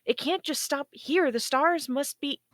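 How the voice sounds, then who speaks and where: A woman's voice: high voice, a little slow, no echo, studio quality. A woman in a table read playing a naive, hopeful, newly awakened space probe, earnest and pleading. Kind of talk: table read of science fiction script.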